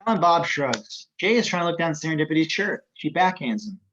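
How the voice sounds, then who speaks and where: A man's voice: a little high, sing-song, brisk, a bit bright, dull audio, slight background noise. A man reading out the stage directions of a film script as scripted narration.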